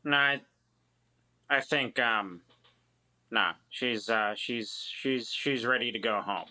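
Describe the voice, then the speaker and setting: Protective companion, acted by a man: bright, mid-pitched, halting, stammering tabletop game character. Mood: hesitant, earnest. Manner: hesitant, stammering. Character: Walter, hesitant protective companion.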